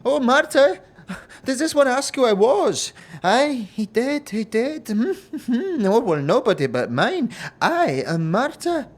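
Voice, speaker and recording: A woman's voice: on the high side, big pitch swings, slow, full character voice. A woman playing a mad, half-deaf old seamstress in an audio drama, muttering and repeating herself with cackles. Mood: muttering, gleeful. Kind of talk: audio drama character acting.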